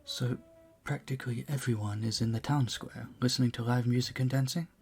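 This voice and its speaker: A man's voice: medium pitch, steady tone, a little fast, soft background music, close to an everyday voice. An actor playing a charming, flirtatious vampire bartender in an intimate audio roleplay, suave and teasing as he speaks to the listener. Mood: friendly, curious.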